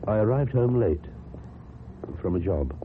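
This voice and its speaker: A man's voice: lowish voice, expressive, measured pace. A man acting in a radio drama as an earnest, devoted lover who looks back on his past, tender and hesitant. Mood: reflective. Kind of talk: radio drama acting and first-person narration. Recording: muffled narrow-band old radio recording, clear noise.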